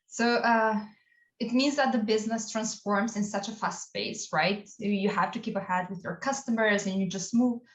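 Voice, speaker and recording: A woman's voice: mid-range voice, fairly even intonation, moderate pace. A woman in her thirties from Albania, a chatty and upbeat guest answering interview questions over a video call. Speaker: guest, people analytics analyst. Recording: roomy, dull remote audio.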